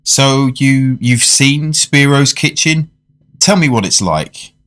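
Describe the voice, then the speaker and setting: An actor playing a polite, curious gentleman diner in a radio drama, measured and formal in wording. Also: medium pitch, expressive. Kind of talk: radio drama narration and dialogue.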